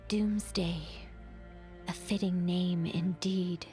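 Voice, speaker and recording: Ominous, extremely slow doom-laden speaker. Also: female voice, fairly low pitch, fairly even intonation, mellow. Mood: grim, ominous. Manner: slow, portentous. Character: ominous, brooding narrator of doom. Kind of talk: dramatic video game voice acting. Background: faint game music.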